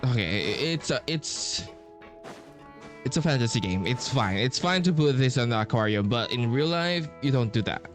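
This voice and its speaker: A man giving casual, rambling playthrough commentary as a game streamer, halting and slangy. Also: mid-range voice, animated. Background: video game music.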